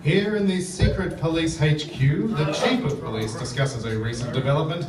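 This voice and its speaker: A man's voice: on the high side, normal speed, a crowd in the background, natural voice. A man playing the narrator of a comedy radio serial, setting scenes in scripted narration. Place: theatre stage, picked up from afar. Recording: echoey theatre with audience, distant mic.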